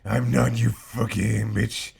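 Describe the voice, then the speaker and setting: A man's voice: lowish voice, little pitch movement, takes it slowly, gravelly, darkish tone, breathy edge, exaggerated voice. A man voicing a bitter, weepy gothic teenage boy who mutters sulkily. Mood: bitter, miserable.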